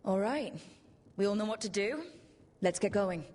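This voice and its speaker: A woman's voice: medium pitch, lively intonation, normal speed, darkish tone, close to an everyday voice. An actress playing a resolute, wry and plain-spoken female adventuring leader in a video game. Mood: steady, wry. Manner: direct, wry, down-to-earth. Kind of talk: video game cinematic acting.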